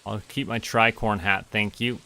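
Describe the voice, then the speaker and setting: Bright-voiced man streaming a game. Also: fairly low pitch, steady tone, normal speed, slight background noise. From Canada. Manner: playful, narrates own actions and reads game text aloud. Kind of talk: casual game stream commentary, thinking aloud.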